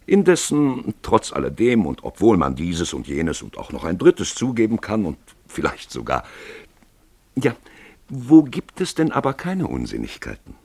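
A man's voice: low-pitched, wildly swooping pitch, normal speed, a little mellow, slightly rough, no room sound. A man giving theatrical, wry literary narration as the storyteller of a radio play.

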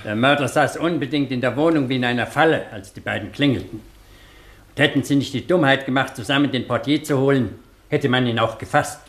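A man's voice: mid-range voice, brisk, fairly bright tone, lightly acted. Shrewd, commanding police commissioner played by a man. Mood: sharp, certain. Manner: clipped, authoritative, probing. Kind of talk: German radio play acting.

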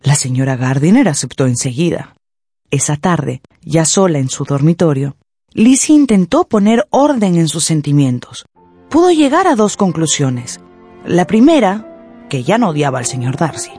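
Expressive, mellow female narrator. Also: deep voice, normal speed, slightly rough, no echo, soft background music. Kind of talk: dramatic scripted radio drama narration.

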